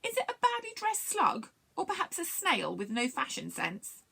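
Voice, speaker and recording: Female reader as excitable small storybook creature, very high, bright, exclamatory. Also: moderate pace, creaky, heavily acted. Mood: puzzled. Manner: chirpy, exclamatory.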